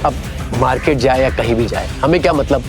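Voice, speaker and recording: A disdainful middle-aged father-in-law played by a man in an audio drama. He grumbles dismissively in a medium-pitched voice over clearly heard music. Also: brisk, lightly acted. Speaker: voice actor.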